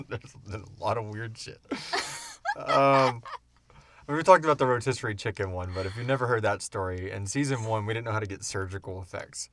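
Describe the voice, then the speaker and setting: A man in his thirties hosting a casual chat about making an audio show, animated and informal as he tells stories and answers questions. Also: fairly low pitch, fairly quick, a little hiss or hum. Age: 30s. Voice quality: wheezy breathing. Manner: animated storyteller, acts out sounds and swears casually. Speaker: podcast host and audio drama creator.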